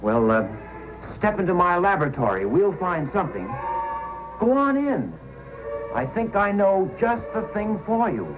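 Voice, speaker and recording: An actor playing a fussy, excitable frontier photographer with a halting manner in an old-time radio drama. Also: male voice, high-pitched, low-quality recording. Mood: hesitant. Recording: old radio recording, faint music.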